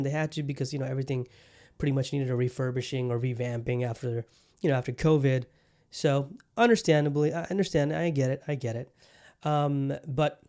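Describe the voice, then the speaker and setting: A man's voice: on the high side, warm tone. Upbeat rambling man hosting a podcast. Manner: rambling, repeats phrases, upbeat. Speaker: podcast host. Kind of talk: casual solo podcast chat.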